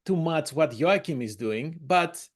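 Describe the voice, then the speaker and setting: Man interviewing with a higher voice. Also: expressive, a little slow. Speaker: podcast interviewer. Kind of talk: casual interview.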